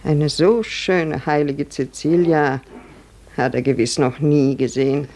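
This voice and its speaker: An actress playing a bustling, reproving female servant in a 1950s radio drama, with a brisk manner. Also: sits low, fairly even intonation, mellow. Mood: admiring.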